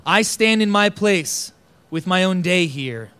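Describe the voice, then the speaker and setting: A man giving a slow, declamatory recitation of a poem. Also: high-pitched, animated, pushed and bright, smooth. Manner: measured, declamatory phrasing. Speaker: poetry reader. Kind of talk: slow dramatic poetry recitation.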